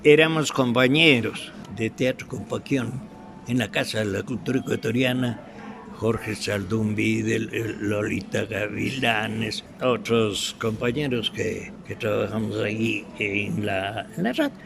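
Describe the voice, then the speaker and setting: Older male interviewee, Ecuadorian accent, retired radio actor. Gravelly, halting delivery, unhurried, over clear ambient noise. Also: on the low side. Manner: halting, searching for words. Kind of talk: reminiscing in an interview.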